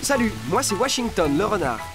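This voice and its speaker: A woman voicing a scheming, bossy male fox who leads his gang in an animated cartoon. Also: medium pitch, brisk. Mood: upbeat. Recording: loud cartoon music behind.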